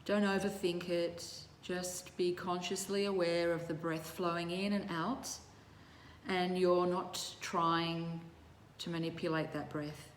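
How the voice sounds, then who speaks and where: Woman doing guided meditation, really slow n soothing w long pauses, roomy echo, bit of background noise. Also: fairly low pitch, fairly even intonation. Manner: measured, soothing, with long pauses between short phrases. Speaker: yoga teacher leading guided relaxation.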